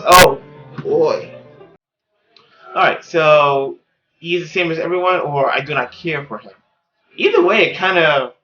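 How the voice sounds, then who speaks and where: A man's voice: fairly high pitch, rough recording. A man hosting a gaming video, reading a visual novel aloud and adding chatty commentary. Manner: animated, chatty.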